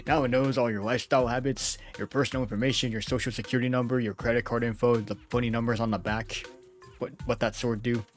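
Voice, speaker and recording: A man's voice: medium pitch, level tone, fairly quick, faint music behind. A man doing casual gameplay commentary as a streamer, joking and slangy, often chuckling.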